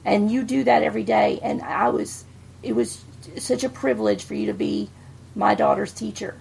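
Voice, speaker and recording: A woman's voice: medium pitch, steady tone, normal speed, gravelly, darkish tone, a little hiss or hum. A middle-aged woman, chatting bluntly as a podcast co-host and swearing freely. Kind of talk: casual chat.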